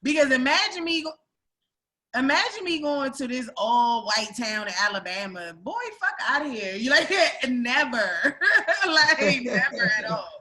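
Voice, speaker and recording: A woman born in Greece chatting casually on a podcast, animated, laughing often and swearing freely. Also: high voice, a little slow.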